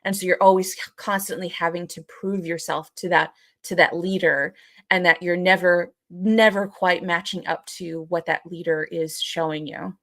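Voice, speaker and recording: A woman co-hosting a podcast, explaining her points in a halting way and repeating phrases as she thinks them through. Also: lowish voice, fairly even intonation, a bit bright, no room sound. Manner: halting, repetitive as thoughts are worked out. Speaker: co-host of a live podcast. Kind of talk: explanatory discussion.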